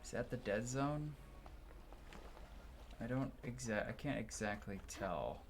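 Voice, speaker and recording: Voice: mid-range voice, lively intonation, takes it slowly, slight background noise. A person giving casual gameplay commentary and reading game text aloud. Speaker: let's player. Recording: game music behind the voice. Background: game music.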